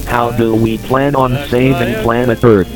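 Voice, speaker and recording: A man playing an emergency and information announcer, speaking in a calm, official way. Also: mid-range voice, measured pace, loud music behind the voice, lightly acted.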